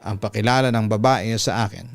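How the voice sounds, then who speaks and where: A man with a Filipino accent reading a listener's letter as a radio-style storyteller, smooth and resonant, in a measured, deliberate way. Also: lowish voice, dry recording. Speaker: radio announcer and story channel host. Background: background music.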